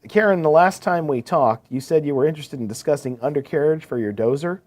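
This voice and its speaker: A man's voice: on the high side, fairly quick, a little mellow, natural voice. A man playing a courteous, attentive equipment salesman in a scripted business phone call, asking probing questions. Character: courteous, eager male heavy-equipment salesman.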